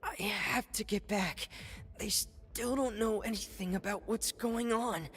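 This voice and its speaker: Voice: mid-range voice, unhurried, fairly bright tone, husky. An actor voicing an earnest, determined young traveling companion in a fantasy game, musing aloud. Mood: strained, desperate. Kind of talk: scripted game voice acting.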